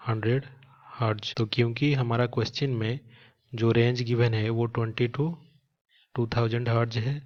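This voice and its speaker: A man's voice: mid-range voice, a little mellow, muffled recording. A man with an Indian accent speaking as a physics teacher, explaining a problem step by step and mixing in English terms. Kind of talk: step-by-step problem-solving lesson.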